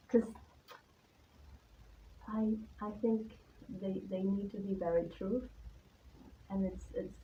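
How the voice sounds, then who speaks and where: A woman from Taiwan gives an informal, personal talk in a nervous, hesitant, candid manner. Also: mid-range voice. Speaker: artist-in-residence guest speaker. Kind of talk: informal spoken presentation about own life and work. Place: live talk at an art venue. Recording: distant mic, dull sound, faint background noise.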